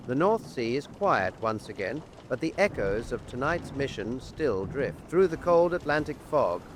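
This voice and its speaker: Guy narrating a late night radio drama show, medium pitch, scripted and atmospheric, plenty of noise behind him. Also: big pitch swings, normal speed. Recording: clearly noisy outdoor-like ambience under voice.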